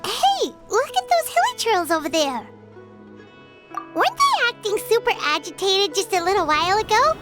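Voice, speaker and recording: A woman's voice: big pitch swings, moderate pace, over loud music. A woman voicing a small, chirpy, curious girl companion in a video game. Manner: high, bouncy, inquisitive.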